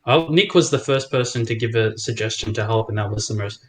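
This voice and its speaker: A man's voice: lowish voice, brisk. A man in an online voice chat, carefully restating the argument with hesitations and restarts. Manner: hesitant, clarifying, many restarts. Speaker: chat room participant.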